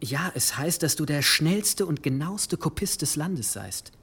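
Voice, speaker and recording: An actor in a radio drama playing a bishop's envoy, measured, flattering and insistent. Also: male voice, a little high, slightly rough, exaggerated voice. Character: smooth, commanding male envoy of a bishop.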